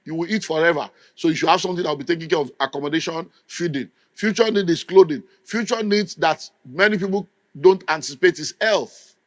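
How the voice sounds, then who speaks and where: A man's voice: fairly high pitch, fairly quick, a bit bright, no echo. A middle-aged Nigerian man preaching a sermon with an emphatic, scolding delivery. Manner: emphatic, scolding, mimics people in quotes. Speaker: pastor.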